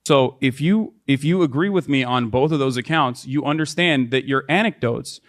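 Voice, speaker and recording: A man's voice: mid-range voice, moderate pace. A man from Turkey arguing in a debate, methodical, building his case step by step. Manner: methodical, builds logical steps, asks to finish. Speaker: debate opponent in a played clip. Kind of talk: measured debate argument.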